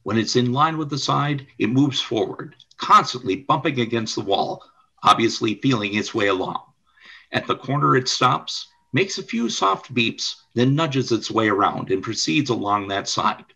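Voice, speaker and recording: Male radio play narrator. Forceful, bright tone, expressive range, dramatic storytelling delivery. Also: medium pitch, normal speed, creaky, slightly rough. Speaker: narrator and announcer.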